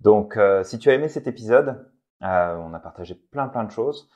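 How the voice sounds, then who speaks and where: A man co-hosting a casual motivational podcast, speaking calmly and addressing the listener directly to push them into action. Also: on the low side, normal speed, highs cut off. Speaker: podcast co-host.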